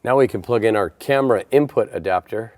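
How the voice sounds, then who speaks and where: A man's voice: lowish voice, a little fast, darkish tone, no room sound. A man presenting a how-to installation tutorial, explaining each step in a plain, methodical way. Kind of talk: step-by-step instructional narration.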